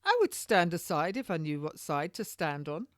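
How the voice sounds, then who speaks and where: A woman voicing a defiant, quick-witted woman under questioning in a historical novel, with formal, indignant, archaic speech. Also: low voice, big pitch swings, no echo, clean studio sound. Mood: wryly defiant.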